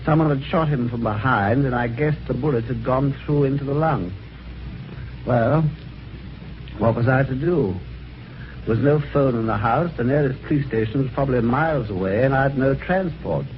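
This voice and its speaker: An actor playing a well-mannered, slightly dim British husband in an old-time radio mystery, talking in a chatty, hesitant, old-fashioned way. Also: male voice, mid-range voice, moderate pace, lightly acted. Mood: puzzled, reflective. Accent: British English. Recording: dull, faintly noisy vintage radio recording.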